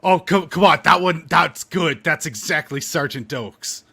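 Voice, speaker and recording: A man in casual podcast chat who laughs often and reacts quickly. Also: fairly high pitch, creaky, sharp tone.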